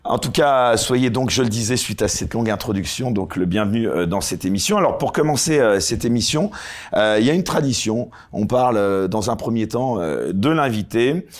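A man's voice: fairly low pitch, animated, normal speed. A man hosting an interview show, speaking formally and hesitantly with frequent fillers. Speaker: talk show host.

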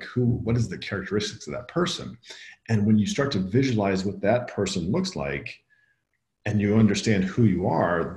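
A man's voice: deep voice, darkish tone. A man speaking as a guest and author in a podcast conversation, explaining his ideas in a reflective, earnest way. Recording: echoey room.

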